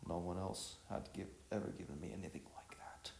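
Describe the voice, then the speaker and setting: A man reads as a big, closed-off but tender-hearted storybook boy. He speaks hesitantly and stammers, in a low, creaky voice, with music behind him. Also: a little fast, reverberant, in a whisper. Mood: moved, shaky.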